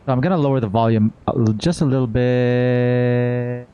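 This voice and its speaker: A man's voice: mid-range voice, fairly even intonation, normal speed, warm tone, smooth, muffled recording, soft background music. A man streaming a game, reacting in casual, slangy commentary with playful heckling.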